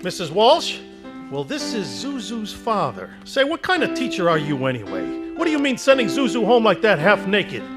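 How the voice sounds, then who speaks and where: Desperate family man, stammering, high-pitched, music behind. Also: wildly swooping pitch, normal speed. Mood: irritable. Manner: agitated, stammering. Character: desperate, frazzled family man near breaking. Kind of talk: radio play acting.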